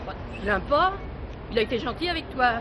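High-voiced, earthy market woman played by an actress. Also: lively intonation, brisk, lightly acted. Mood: coaxing. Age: middle-aged. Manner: familiar, slangy, maternal. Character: earthy, motherly market woman, blunt and teasing. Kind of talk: French radio drama acting. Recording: noisy market hall with crowd and vehicles.

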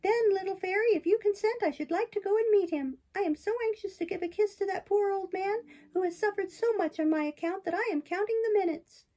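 A woman voicing a naughty, excitable wooden puppet boy in a storybook reading, with a high, eager delivery. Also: brisk, few pauses.

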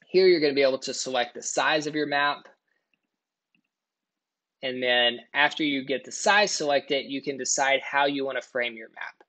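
A man talks through an on-screen tutorial in a relaxed, conversational way, one step at a time. His voice sits at a middle pitch. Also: normal speed. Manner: casual, step-by-step explaining. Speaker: product tutorial presenter. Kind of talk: screen-recording tutorial voice-over.

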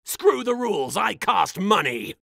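A man's voice: sits high, big pitch swings, unhurried, vocal fry, background noise, exaggerated voice. A man voicing an arrogant, pompous, rich young card duelist in a bombastic video game parody. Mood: smug, boastful. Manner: bombastic, shouts attack calls.

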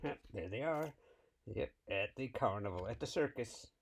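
A man's voice: fairly low pitch, animated, normal speed, gravelly, slightly rough. A man reading a picture book aloud to a small child, encouraging and prompting with questions.

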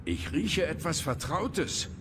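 A man's voice: on the high side, lively intonation, slow pace, noisy background. A man playing a stubborn, vengeful old dwarf warrior who grumbles indignantly. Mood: grumbling, bitter.

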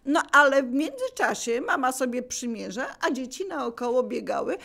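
A woman's voice: sits high, big pitch swings, normal speed, creaky, pushed and bright. An older woman casually sharing memories as a local resident, searching for words and repeating herself to explain. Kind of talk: casual spoken reminiscence.

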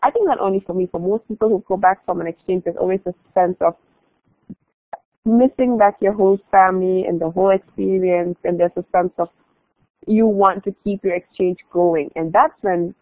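A woman's voice: medium pitch, fairly even intonation, normal speed, no room sound. A young woman from Malaysia giving a casual online presentation about her exchange year, often saying "like" and restarting phrases. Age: young adult. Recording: tinny phone-like online call audio.

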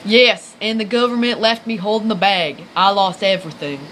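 A woman's voice: mid-range voice, moderate pace, a bit bright, slight background noise, natural voice. A woman playing a scrappy country hick farmer who rides the rails, talking in folksy, ungrammatical slang in an amateur radio skit. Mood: brash.

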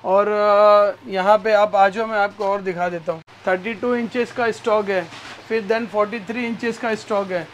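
A man's voice: steady tone, normal speed, slight background noise. A man in his thirties from India, walking through a cluttered electronics warehouse on a lapel mic, eagerly reeling off prices and calling the viewer brother. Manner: pitches prices eagerly, calls everyone bhai. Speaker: warehouse seller showing stock. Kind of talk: energetic sales walkthrough.

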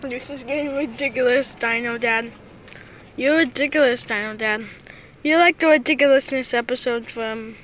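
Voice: mid-range voice, normal speed, fairly bright tone, slight background noise. Someone chatting casually and playfully to camera, often repeating phrases, recorded on a phone inside a car. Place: inside a car, back seat.

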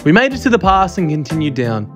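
A man narrates his travel video in a voice-over, talking quickly with a slightly high voice. His tone is upbeat and wry. Music plays clearly behind him. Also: sing-song. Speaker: travel vlogger.

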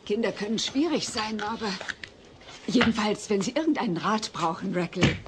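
A woman's voice: medium pitch, expressive, moderate pace, natural voice. A woman playing a polite, flustered suburban mother in a sitcom audio drama, hesitant and placating. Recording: noisy cassette audio drama.

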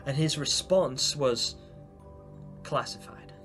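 A man's voice: medium pitch, takes it slowly, fairly bright tone. A young man hosting a video, giving theatrical, wordy commentary to viewers about game theories. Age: young adult. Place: small home room, indoors. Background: background music.

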